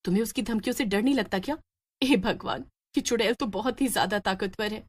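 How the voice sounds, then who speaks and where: A woman's voice: medium pitch, brisk, breathy edge, close to an everyday voice. A woman voicing a bold, protective village mother in a story, speaking earnestly and insistently. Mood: alarmed.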